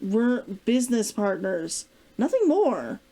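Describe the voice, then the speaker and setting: A woman's voice: a little high, sing-song, slow pace, dark tone, full character voice. A woman reading aloud as a proud, stubborn woman fighter in a storybook, defensive and curt. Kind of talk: storybook character dialogue read aloud.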